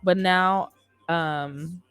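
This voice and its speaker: A young woman with a fairly low voice hosts a casual interview on a close studio mic. She talks informally, and music plays behind her. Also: fairly even intonation, very slow, fairly bright tone, clean tone. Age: young adult. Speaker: radio show host interviewing an artist. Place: small indoor radio studio. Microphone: close studio mic on boom arm, headphones. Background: music playing.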